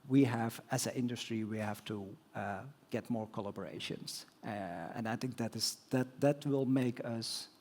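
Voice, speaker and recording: A man's voice: medium pitch, fairly even intonation, normal speed, few pauses, a little mellow. A man speaking as a guest on a conference stage panel, answering with frequent fillers, restarts and non-native phrasing. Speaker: telecom executive guest on panel.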